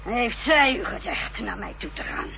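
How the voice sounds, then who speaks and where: A woman's voice: fairly high pitch, lively intonation, creaky. An actress playing a bitter, haughty, secretive mother in a radio drama, curt and contemptuous, on a dull, noisy old recording. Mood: cold, scornful. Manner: curt, contemptuous, measured delivery.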